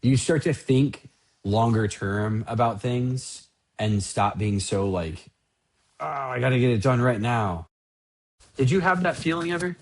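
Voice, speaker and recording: A man in his late thirties hosting a casual podcast, animated and joking, asking his co-host lots of questions. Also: medium pitch, measured pace. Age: 30s.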